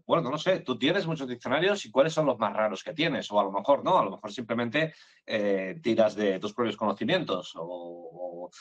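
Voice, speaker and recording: A man's voice: medium pitch, highs cut off. A man interviewing a translator over a video call, asking loose, rambling questions full of fillers.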